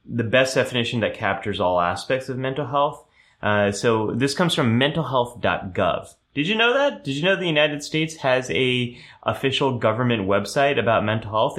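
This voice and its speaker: A man hosting a live show who explains ideas at length, reads out viewer comments, and often pauses with ums and restarts. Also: lowish voice, a bit bright.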